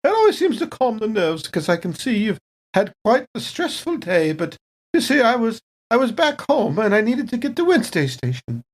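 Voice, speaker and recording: Guy doing a high chatty lady android, swoopy, polite n fluttery, tabletop game. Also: wildly swooping pitch, moderate pace, heavily acted. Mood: kindly, apologetic. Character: genteel, scatterbrained, chatty lady android space tourist. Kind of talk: tabletop role-play acting.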